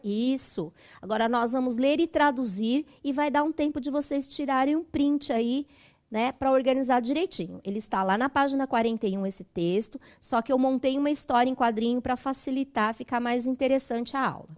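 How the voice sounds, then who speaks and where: Higher-pitched woman teaching. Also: moderate pace, a little mellow. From Brazil. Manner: didactic, checks in with tag questions. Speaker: English teacher. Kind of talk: conversational school lesson for broadcast.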